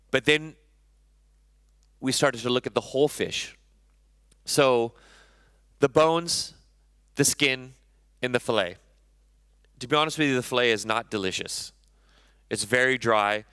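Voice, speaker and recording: Chef guy talking on a conference stage, crisp clear voice, keeps correcting himself. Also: medium pitch, sharp tone, dry recording, clean studio sound. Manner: conversational, self-correcting, enthusiastic about food. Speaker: chef giving a conference talk. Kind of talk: conference talk.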